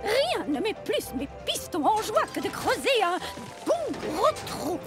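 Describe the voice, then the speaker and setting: A woman voicing an excitable, boastful female steam shovel in a children's cartoon, with a gushing, theatrical storytelling manner. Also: very high-pitched, a little slow, over music, exaggerated voice. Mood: cheerful, eager. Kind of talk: animated children's cartoon acting.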